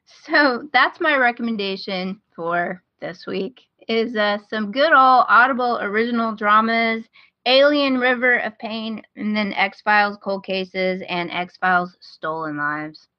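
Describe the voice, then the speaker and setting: A woman's voice: medium pitch, unhurried, no room sound, dull audio. A woman hosting a podcast in casual chat, giving recommendations in an informal, enthusiastic way.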